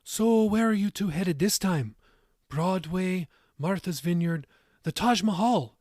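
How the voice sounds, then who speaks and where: Man playing a playful Irish grandmother, lifted pitch. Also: expressive, unhurried, a little mellow, dry recording, studio quality. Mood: warm, playful.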